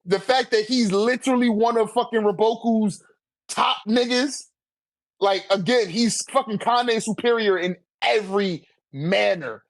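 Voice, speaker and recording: A man's voice: high-pitched, measured pace. A man in a casual, argumentative debate, talking animatedly with heavy slang and swearing. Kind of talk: casual slangy debate about manga rankings.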